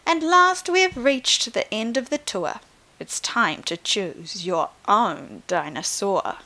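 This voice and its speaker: A flamboyant man who runs a magical shop, acted out by a woman reading a storybook. He is boastful and theatrical, rolling out his welcome at a slow, showy pace. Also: mid-range voice, animated, pushed and bright, full character voice. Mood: proud. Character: flamboyant boastful man, showman dinosaur shopkeeper. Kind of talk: storybook read aloud.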